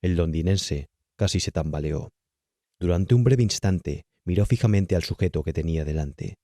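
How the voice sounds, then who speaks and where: A man's voice: deep voice, moderate pace, warm tone, no room sound. A man with a Castilian Spanish accent reading a literary horror tale as an audiobook narrator, close to the microphone. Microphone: close, dry studio-style microphone.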